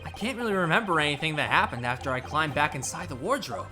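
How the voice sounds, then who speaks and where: A woman's voice: low-pitched, big pitch swings, fast talker. A woman voicing a nervous young male lawyer who talks in a flustered, exclaiming way, over game music. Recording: game music playing underneath.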